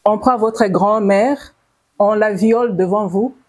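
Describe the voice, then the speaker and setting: Slow-spoken Congolese woman giving testimony. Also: medium pitch, darkish tone, rough recording. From Bukavu, South Kivu, DR Congo. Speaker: testifying survivor and audience speaker.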